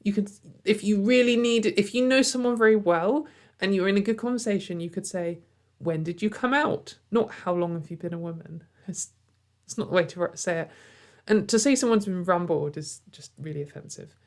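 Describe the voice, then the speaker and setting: A woman's voice: medium pitch, brisk. A woman hosting a casual commentary video from home, sarcastic and exasperated, with frequent sighs and fillers.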